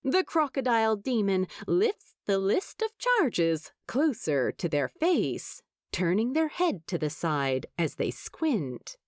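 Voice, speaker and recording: A woman's voice: medium pitch, big pitch swings, slow, few pauses, no echo, clean studio sound. A woman narrating a scripted story expressively, as an audiobook reader would. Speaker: fan fiction narrator.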